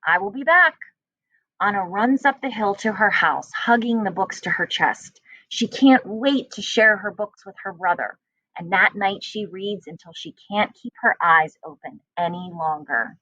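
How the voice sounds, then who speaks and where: A woman narrates a children's storybook like a teacher reading to a class, expressively and at a medium pitch. The recording is very dry and a little dull. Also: moderate pace, a bit bright, no echo.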